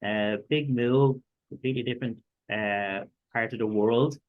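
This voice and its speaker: A male host opening an interview, who speaks haltingly with many fillers and corrects himself. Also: medium pitch, narrow, tinny audio. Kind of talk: interview introduction.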